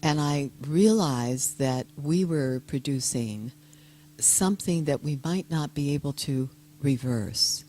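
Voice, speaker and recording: Older woman, soft-spoken, gentle, low voice, unhurried talk to an audience. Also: slight background noise. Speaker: guest speaker. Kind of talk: heartfelt personal talk to an audience.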